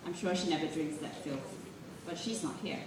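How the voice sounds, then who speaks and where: A woman gives an expressive, deliberate live reading of literary prose and poetry. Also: low voice. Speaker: reader at a literary festival. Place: live event room, recorded from a distance. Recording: roomy echo, distant mic, clearly noisy.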